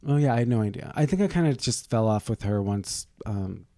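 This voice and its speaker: A man's voice: mid-range voice, expressive, brisk, warm tone, no room sound, studio quality. A man co-hosting a podcast, bantering casually with an irreverent, gossipy, joking manner. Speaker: podcast co-host.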